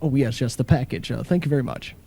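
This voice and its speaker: A man's voice: medium pitch, fast talker, creaky, dark tone, slightly rough. A man playing a vain, blustering middle-aged businessman, self-important and prone to flustered stammers, in an old-time radio comedy. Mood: boastful. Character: pompous, blustering henpecked businessman, vain and gullible.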